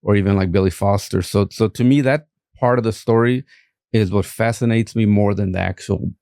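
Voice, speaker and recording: A man with a Mexican accent, an art collector talking casually as an interview guest, halting with many fillers. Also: on the low side, steady tone, mellow, no room sound, clean studio sound.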